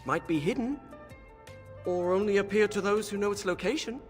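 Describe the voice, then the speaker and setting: An actor playing an earnest young male mage scholar in a fantasy video game, speaking in a measured, explanatory way. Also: high-pitched, lightly acted. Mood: earnest, focused. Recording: game audio with long reverb under music.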